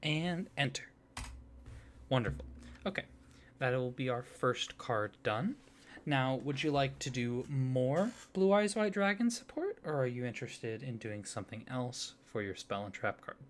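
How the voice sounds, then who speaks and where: A soft-spoken man hosts an ASMR roleplay as an eager card designer. He talks slowly and unhurriedly, his mid-range voice rising and dipping with lively, expressive melody. The gentle delivery stays quiet and close. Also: mid-range voice, slight background noise.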